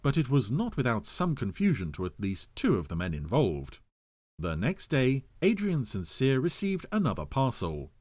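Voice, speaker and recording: Male narrator for an audio story: highly animated intonation, scripted delivery, music behind. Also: normal speed.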